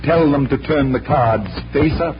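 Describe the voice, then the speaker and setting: A man's voice: mid-range voice, normal speed, over music, natural voice. An actor playing a confident, genial magician-detective who explains things like a showman, in vintage radio drama style. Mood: explanatory, genial. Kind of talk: 1940s radio drama acting. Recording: muffled old radio recording with hiss.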